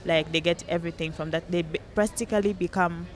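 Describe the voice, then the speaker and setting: A young woman from Cameroon, a podcast guest in casual chat, bubbly and quick to laugh. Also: lowish voice, fairly even intonation.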